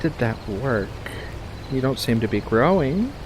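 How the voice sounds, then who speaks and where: A man voicing a playful, mischievous furry witch of the woods in a soft-spoken, teasing ASMR roleplay, full of gentle endearments. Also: mid-range voice, sing-song, a little slow, noisy background. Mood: amused, apologetic.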